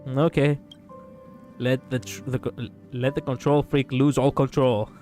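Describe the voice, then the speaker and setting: A man with a raspy voice streaming a game, chatting casually in a rambling way, laughing often and swearing. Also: mid-range voice, little pitch movement, a little slow, mellow, faint music behind. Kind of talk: casual gaming stream chat.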